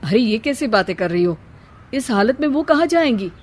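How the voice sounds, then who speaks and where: Woman playing an earnest young brother, high voice. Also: expressive, moderate pace, darkish tone. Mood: concerned. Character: earnest young man, devoted but easily swayed brother.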